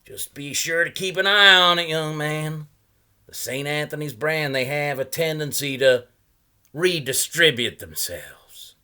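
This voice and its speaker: A man voicing an odd, genial old salesman who talks in musing, folksy, halting asides. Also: on the high side, expressive, bright, forceful tone, clear, resonant tone, voice pushed up, full character voice. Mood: cautioning.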